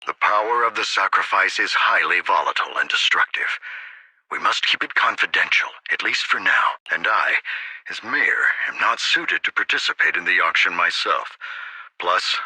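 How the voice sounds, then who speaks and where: An authoritative city mayor for a video game. He is earnest and deliberate, with a very bright, projected, low voice that rasps a little. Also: dry recording, full character voice. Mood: earnest, grateful. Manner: formal, deliberate.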